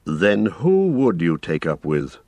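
A man voicing a blunt, grave, commanding ranger in an audiobook, speaking tersely and deliberately. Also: sits low, wildly swooping pitch, darkish tone, no room sound, heavily acted. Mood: stern. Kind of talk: audiobook character voice.

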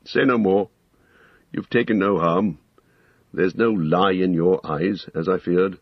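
A man voicing a grave, commanding old male wizard in a storybook reading, speaking sternly and deliberately. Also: low-pitched, steady tone, husky, no echo, lo-fi sound, exaggerated voice. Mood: firm, softening.

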